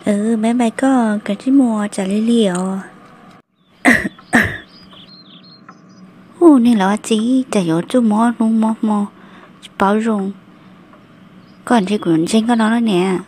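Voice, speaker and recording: An actress voicing a woman in an animated family drama, in an amateur cartoon style. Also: a little high, takes it slowly, pauses often, breathy edge. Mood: conversational.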